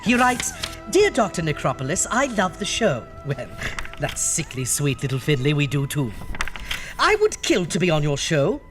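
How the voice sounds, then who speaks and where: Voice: mid-range voice, wildly swooping pitch, normal speed, over music. A performer playing a flamboyant undead doctor who hosts a campy horror radio show with theatrical, mock-sweet delivery. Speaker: host.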